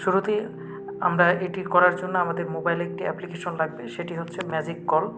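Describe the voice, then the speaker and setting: A man's voice: fairly high pitch, flat delivery, quick pace. A man with a Bangladeshi accent hosting a tech tutorial, explaining an app step by step in an instructional way. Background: background music.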